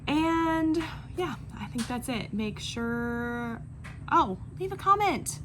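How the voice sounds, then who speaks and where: A woman's voice: on the high side, expressive, slow. Chatty woman vlogger with noisy background. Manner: rambling, easily distracted, self-deprecating. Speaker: video creator, online clothing reseller. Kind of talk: casual chatty vlog. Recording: clear background noise, room ambience.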